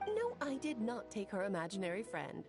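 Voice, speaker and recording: A woman's voice: mid-range voice, huge pitch swings, fairly quick. A woman voicing a playful, theatrical witch mentor who talks in a grand, teasing and affectionate way, in video game dialogue. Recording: faint game music behind.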